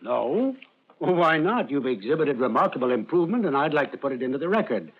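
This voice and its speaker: Radio drama: a suave psychoanalyst, measured and polite. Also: male voice, medium pitch, very animated, husky. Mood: professional. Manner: polished, soothing, growing uneasy. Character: smooth middle-aged male psychoanalyst. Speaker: doctor. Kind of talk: 1940s radio acting. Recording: dull lo-fi old radio recording.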